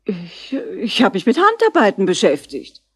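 A woman's voice: medium pitch, huge pitch swings, normal speed, slight background noise. An actress playing a middle-aged mother in a 1960s German radio crime drama, genteel, affected and effusive, by turns indignant and ingratiating.

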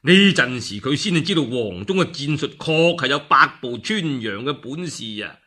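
Husky, bright-voiced Cantonese storyteller, a man. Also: medium pitch, lively intonation, normal speed. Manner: theatrical, with exclamations and spoken sound effects. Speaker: radio storyteller. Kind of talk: dramatic one-man narration of a classical war tale.

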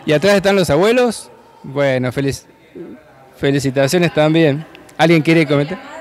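A man's voice: a little high, normal speed. A man with an Argentine accent, a reporter asking friendly, upbeat questions at an indoor event. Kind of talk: on-the-spot event interview. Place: indoor school hall. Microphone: handheld microphone.

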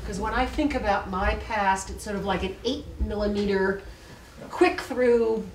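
A woman's voice: mid-range voice, moderate pace. A woman in the audience asks a hesitant question. She is picked up from far away, and her voice echoes in a roomy hall over faint background noise. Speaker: audience member asking a question. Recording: distant voice in an echoey room.

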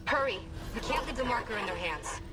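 An actress playing a determined female tech officer in a video game, brisk and businesslike. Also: fairly high pitch, expressive, heavy background noise, close to an everyday voice. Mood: urgent, focused. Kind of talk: naturalistic video game acting. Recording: distant voice under loud music.

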